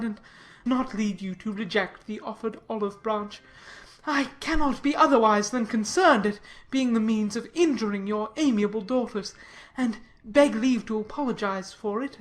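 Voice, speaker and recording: A woman reading a pompous, obsequious clergyman's letter aloud in a dramatized audiobook, in a formal and deliberate manner. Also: fairly high pitch, close to an everyday voice.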